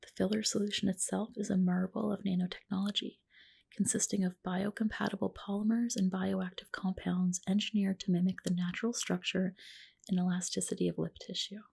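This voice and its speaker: A woman with a soft, breathy, whispering voice doing a gentle, reassuring ASMR roleplay as a spa practitioner, close to the microphone. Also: mid-range voice, flat delivery, brisk. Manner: gentle, reassuring client-care talk. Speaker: ASMR creator playing a medical spa practitioner. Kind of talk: ASMR roleplay, personal attention.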